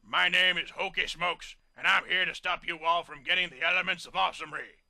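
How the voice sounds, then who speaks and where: A man's voice: sits high, flat delivery, fairly quick, vocal fry, sharp tone, no room sound, heavily acted. A man voicing a huge, grumpy gray male earth pony who bursts into gushing admiration. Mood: grumbling. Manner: blustering then gushing.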